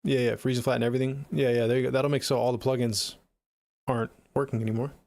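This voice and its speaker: Middle-aged American man with gravelly fry. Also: mid-range voice, little pitch movement, fast talker, a little mellow, no room sound. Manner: laid-back, slangy, swearing. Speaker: music production livestreamer. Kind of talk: casual livestream chat. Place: small home studio room, indoors.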